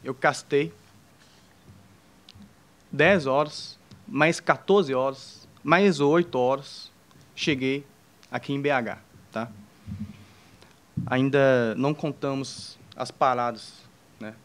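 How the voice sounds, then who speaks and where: A young man from China with a Chinese accent, speaking Portuguese as a second language, telling an informal personal story to an audience in a halting way, with many restarts, while joking with the listeners. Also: mid-range voice. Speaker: entrepreneur speaking on a panel.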